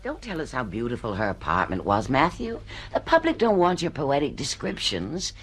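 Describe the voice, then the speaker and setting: An actress playing a shrewd, domineering woman editor in a radio drama, with an emphatic, brisk, bossy manner. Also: very deep voice, huge pitch swings, slight background noise, full character voice. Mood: bossy, instructing. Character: brash, domineering female book editor, shrewd and pushy.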